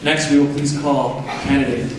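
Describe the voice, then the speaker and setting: A man's voice: on the high side, flat delivery, normal speed, very noisy. A man hosting a live pageant, calling candidates forward in a formal announcing manner. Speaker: pageant host. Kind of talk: live event emcee. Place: large theatre. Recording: echoey hall from far off, loud crowd.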